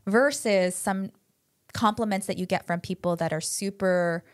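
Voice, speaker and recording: A woman's voice: mid-range voice, moderate pace, no echo, studio quality. A woman from the United States, co-hosting a podcast and giving chatty parenting advice full of "like" fillers. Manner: chatty, many "like" fillers, voices quotes animatedly.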